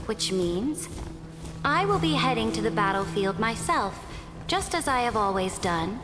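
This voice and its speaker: A woman's voice: on the high side, very animated. Game role: proud, shrewd female ruler, formal and commanding, reverberant, music underneath. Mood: stern, resolute. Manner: measured, authoritative, formal. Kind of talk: dramatic game dialogue. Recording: reverberant hall, music behind.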